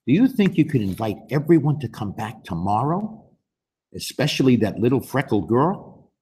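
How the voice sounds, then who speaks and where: A man voicing a naive, husky talking school building. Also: mid-range voice, big pitch swings, normal speed, darkish tone. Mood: hopeful. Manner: childlike wonder, hesitant, earnest. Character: newly built school building, male, naive, shy, easily embarrassed.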